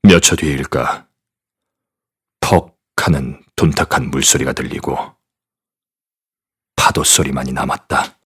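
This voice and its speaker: An actor playing a grim, determined paranormal agent, narrating gravely and deliberately in an audio drama. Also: male voice, very deep voice, fairly even intonation, a bit bright, exaggerated voice. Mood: grave, tense. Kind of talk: dramatic first-person scripted narration.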